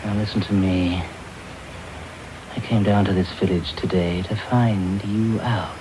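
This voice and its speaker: An actor playing a sinister, secretive villain, commanding, contemptuous and deliberate, in a radio drama. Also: male voice, deep voice, steady tone. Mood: brusque, probing. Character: sinister, secretive villainous man, brusque and menacing. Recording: noisy off-air medium wave radio tape.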